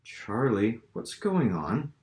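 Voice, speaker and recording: A man acts out a woman in love from an audiobook fantasy. She is expressive and playful with an uneasy edge, her lines lilting upward in a roomy space. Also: medium pitch, slow, pitched above the performer's own voice. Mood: anxious. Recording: roomy, echoing room sound.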